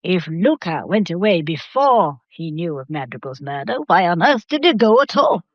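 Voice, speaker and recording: An actress playing an imperious old Victorian matriarch with an English accent, speaking formally and deliberately in a radio drama. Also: female voice, on the low side, big pitch swings, no echo, muffled recording. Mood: stern, challenging. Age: older.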